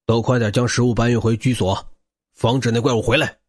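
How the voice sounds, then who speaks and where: A man acts a burly, fierce gang leader in an apocalyptic audiobook. He speaks in a lowered, husky voice, commanding and suspicious. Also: fairly even intonation, fairly quick, no echo, natural voice. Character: burly, fierce, wary gang boss with stubble.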